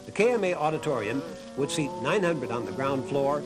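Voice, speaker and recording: A man's voice: mid-range voice, lively intonation, brisk. A man reading a scripted historical documentary narration. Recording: narration over background music. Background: music.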